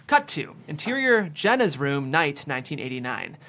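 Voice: medium pitch, very animated, moderate pace, sharp tone. A narrator reading the stage directions of a screenplay at a table read.

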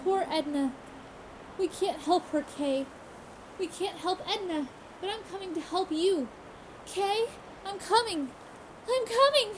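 A woman's voice: very high-pitched, a little slow, long pauses, noisy background. A woman playing a frightened, fragile young woman in a radio horror drama, anxious and devoted, pleading breathlessly and repeating herself. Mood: desperate, calling out.

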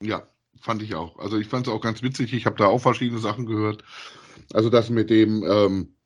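Low-pitched man in casual chat. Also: fairly even intonation, a little fast. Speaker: podcast host reviewing audio dramas.

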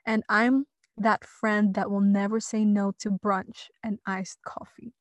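A woman's voice: mid-range voice, flat delivery, a little slow, no echo. A young woman podcast co-host who works in church worship and media, talking in a cheerful, chatty way. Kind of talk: casual podcast introduction.

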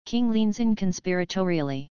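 A woman narrator with a very crisp, smooth voice reads scripted stage directions. The sound is close and dry, at a middle pitch. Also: expressive, moderate pace, warm tone, dry recording, dull audio.

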